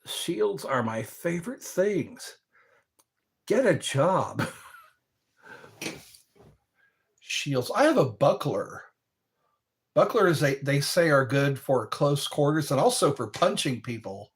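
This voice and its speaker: Slow, rambling man on a live stream. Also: fairly high pitch, very animated. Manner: meandering, laughs at his own jokes, reacts to chat. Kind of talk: rambling casual chat to viewers.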